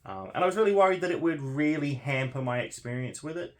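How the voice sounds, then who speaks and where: A man's voice: fairly high pitch, quick pace. Man casually discussing a book to viewers. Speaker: book vlogger hosting a read-along.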